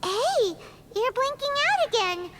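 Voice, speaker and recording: Tiny game sidekick: childlike, squeaky, very animated, extremely high, excitable. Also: female voice, measured pace, a little hiss or hum, heavily acted. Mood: curious, chirpy. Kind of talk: video game voice acting. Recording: music behind the voice.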